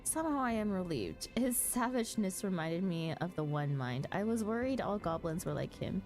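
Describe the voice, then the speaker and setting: A woman's voice: on the low side, expressive, normal speed, darkish tone, natural voice. A woman voicing an earnest, formal fantasy game creature that speaks a little hesitantly to its master. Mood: apologetic, uneasy. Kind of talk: reading game quest dialogue aloud in character. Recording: music behind the voice.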